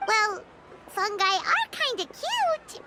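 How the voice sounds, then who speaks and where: A woman voicing a tiny, friendly, childlike companion in a video game, squeaky, bubbly and expressive. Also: takes it slowly, very noisy, full character voice. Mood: chirpy, musing. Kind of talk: scripted video game voice acting.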